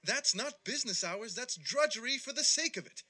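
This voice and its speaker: Warm-hearted young gentleman, high voice, very animated, earnest, hearty, audio play. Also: normal speed, exaggerated voice. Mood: earnest, pleading. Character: cheerful, warm-hearted young nephew, earnest and good-natured. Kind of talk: audio drama acting.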